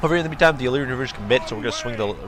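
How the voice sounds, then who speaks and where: A man commentating a strategy game battle in a quick, chatty way, his voice nasal from a cold. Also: medium pitch. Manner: quick, chatty, many fillers and restarts. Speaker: gameplay commentator.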